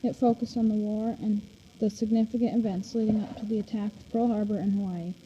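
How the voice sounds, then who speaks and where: A woman hosts a scripted radio interview in 1940s style, reading her questions. Her mid-pitched voice is very dark and mellow. The sound is dull and faintly noisy. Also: flat delivery, a little fast. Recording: dull recording with faint noise.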